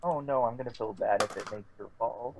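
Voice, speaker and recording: A man's voice: medium pitch, brisk, a little hiss or hum, low-quality recording. A man playing a tabletop game, chatting casually and joking with the group.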